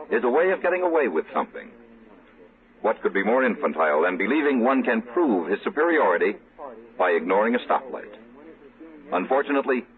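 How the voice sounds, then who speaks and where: An American man reading a vintage radio public-service announcement in an earnest, formal, admonishing manner. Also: medium pitch. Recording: thin, narrow old radio recording.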